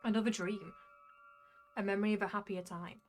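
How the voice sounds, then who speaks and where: A woman narrating a visual novel as a wry, reflective young male jester, in measured storytelling. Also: mid-range voice, steady tone, darkish tone, faint music behind, close to an everyday voice. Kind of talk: visual novel first-person narration read aloud.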